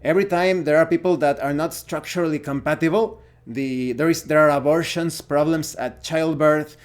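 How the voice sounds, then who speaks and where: A man's voice: a little high, steady tone, normal speed. A man, a Spanish speaker, giving an unscripted opinion monologue as host of a gaming channel, often searching for words. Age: 40s.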